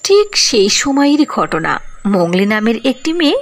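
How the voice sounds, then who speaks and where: Lively woman telling a cartoon fairy tale. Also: fairly high pitch, moderate pace. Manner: expressive and storytelling. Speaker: narrator. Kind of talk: storybook narration.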